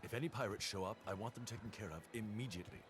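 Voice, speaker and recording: An actor playing a genteel, authoritative airship leader in a video game, heard as quiet game audio. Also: male voice, lowish voice, very fast, lightly acted. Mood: commanding.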